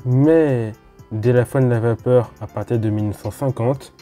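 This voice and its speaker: A man's voice: mid-range voice, fairly even intonation, moderate pace, dark tone, faint music behind. A man from France narrating a railway history video in a casual explanatory way, with informal asides to the viewer. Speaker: host of a railway history channel.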